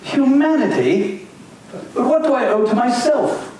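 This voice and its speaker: A man's voice: extremely high pitch, lively intonation, a little slow, darkish tone. An older man acting a wry, evasive Irish book reviewer in an amateur stage reading, mild and defensive. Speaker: community theater actor. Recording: echoey hall, mic far off, faint hiss.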